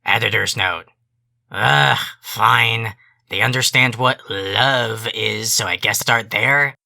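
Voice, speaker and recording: A man playing a grouchy, foul-mouthed alien troll editor in a fan audio drama, curt and exasperated, with a gravelly voice. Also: fairly low pitch, takes it slowly, sharp tone, heavily acted. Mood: grudging annoyance. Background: music.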